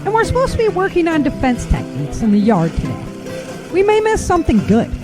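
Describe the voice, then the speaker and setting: A woman's voice: very high voice, huge pitch swings, quick pace, exaggerated voice. An actress playing a dutiful, teasing woman in training as a fighter in an audio drama. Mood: reluctant. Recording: music behind the voice.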